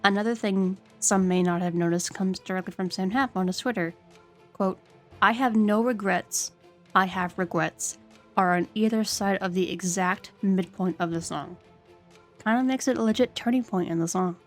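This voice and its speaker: A woman with a medium-pitched voice reads scripted fan commentary as a recap co-host. Quiet music plays behind her. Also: moderate pace.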